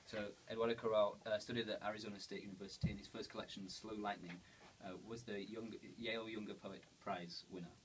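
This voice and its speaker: A man's voice: on the low side, flat delivery, fast talker, hardly any pauses. A man in his thirties hosting an informal interview, introducing and asking questions in tentative, roundabout phrasing. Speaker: interviewer and host. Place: indoors in a bookshop, seated. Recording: distant, hissy camera recording.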